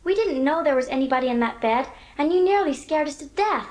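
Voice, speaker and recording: A woman's voice: sits high, animated, brisk, darkish tone. A woman playing an earnest, outspoken, wordy eleven-year-old orphan girl in a dramatised audiobook. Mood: earnest pleading.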